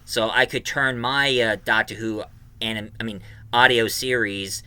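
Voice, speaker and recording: A man with a very bright, forceful voice and a clean, resonant tone. He hosts a casual review for his channel, rambling along with a fan's enthusiasm. The sound is dry, with little room on it. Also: on the low side, fairly even intonation, a little slow, smooth, no echo. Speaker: YouTube reviewer and host.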